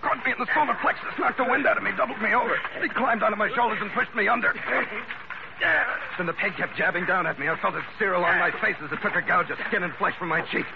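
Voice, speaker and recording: A man's voice: sits high, fast talker, creaky. An actor playing a tough, hard-boiled American sea captain who narrates his own radio adventure in clipped, wry words. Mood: intense. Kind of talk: 1940s-50s radio adventure acting. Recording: muffled, very noisy vintage radio recording.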